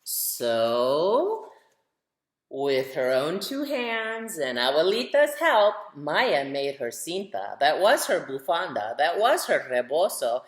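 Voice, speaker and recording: Expressive woman reading aloud, low-pitched, echoey room. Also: slow. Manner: expressive, addresses young listeners with questions. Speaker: author reading own picture book aloud to children. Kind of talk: storytime read-aloud for children. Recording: roomy, echoing sound.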